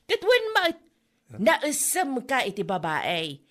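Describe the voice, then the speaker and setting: High-voiced, scolding Ilocano village woman played by a woman. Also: huge pitch swings, slow, a bit bright, full character voice. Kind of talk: comedy drama podcast acting.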